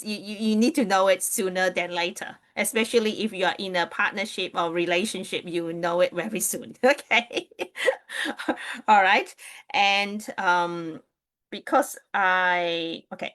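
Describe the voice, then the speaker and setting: A woman's voice: lowish voice, a bit bright. A woman from New Zealand chatting casually and digressively on a live stream, greeting her viewers as she goes.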